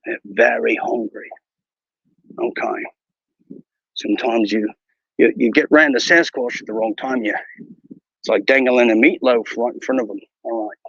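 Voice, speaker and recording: Guy doing a fake aussie ranger, boastful and bumbling, whispery gravelly voice, kinda dull lo-fi sound. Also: mid-range voice, lively intonation, moderate pace, pauses often, no echo, heavily acted. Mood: hushed bravado. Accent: mock Australian. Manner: hushed, mock-serious whispering narration. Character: bumbling boastful male wilderness ranger, adult. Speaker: mock wildlife show host. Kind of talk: improvised parody nature documentary.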